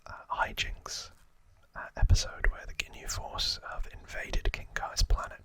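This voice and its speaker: A man's voice: unhurried, bright, forceful tone. A man hosting an ASMR channel, whispering an informal trivia recap with hesitant, musing asides.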